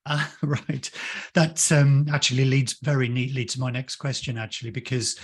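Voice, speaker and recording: A man's voice: medium pitch, steady tone, moderate pace, husky. A man hosting an interview on a video call, chatty and friendly, with fillers and small laughs. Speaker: interview host, company founder.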